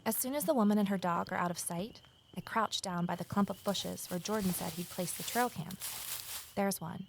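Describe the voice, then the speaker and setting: An actress playing a wary, determined amateur sleuth in a naturalistic audio drama, conversational and gently wry. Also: female voice, medium pitch, moderate pace, gravelly, a little hiss or hum, natural voice. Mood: confiding narration. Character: Evelyn, wary determined former journalist turned amateur sleuth. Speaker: lead character and first-person narrator.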